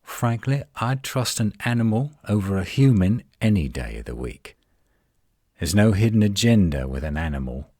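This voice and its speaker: A man playing a defensive, flirtatious tsundere werewolf in a roleplay audio drama, indignant and sarcastic with a husky voice. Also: deep voice, darkish tone, dry recording, clean studio sound, full character voice. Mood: resentful, sarcastic.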